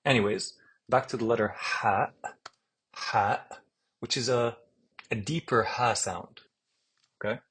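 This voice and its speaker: A man with a Palestinian accent teaching an alphabet lesson, saying each letter name slowly and repeating it patiently. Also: mid-range voice, steady tone, fairly bright tone, slightly rough. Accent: Palestinian Arabic. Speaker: Arabic teacher. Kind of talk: screen-share alphabet lesson.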